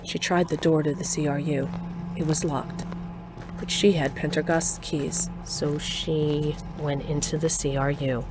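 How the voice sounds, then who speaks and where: A woman's voice: low voice, fairly even intonation, measured pace, loud music behind the voice, low-quality recording. A woman playing a plain-spoken, dryly humorous older narrator telling her adventures in an audio drama. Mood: dry storytelling. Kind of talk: scripted first-person narration in audio drama.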